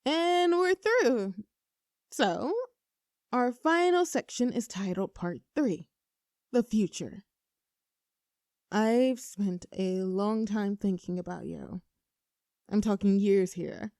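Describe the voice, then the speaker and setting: Casting: actress playing nervous, teasing young best friend in love, earnest and conversational, intimate audio roleplay, very dry close sound. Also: female voice, medium pitch, slow, long pauses, darkish tone, no echo. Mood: playful teasing. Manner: playful, teasing, earnest, slightly flustered.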